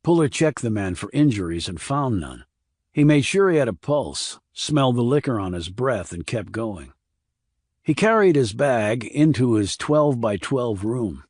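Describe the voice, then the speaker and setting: A man reading a scripted crime thriller as an audiobook narrator, measured and restrained. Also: medium pitch, expressive, a little mellow, dry recording, clean studio sound.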